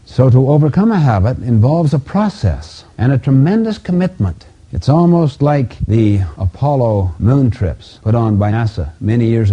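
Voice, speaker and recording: Dark-voiced, husky man lecturing on self-help. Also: medium pitch. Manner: didactic, emphatic, deliberate phrasing. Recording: dull lo-fi audio.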